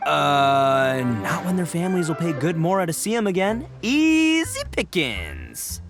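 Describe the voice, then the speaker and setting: A brash young oni gang boss from an anime game. He is boastful and theatrical, stammering through his bluffs with wildly animated pitch. Game music plays behind him. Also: unhurried, full character voice. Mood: cocky, defiant.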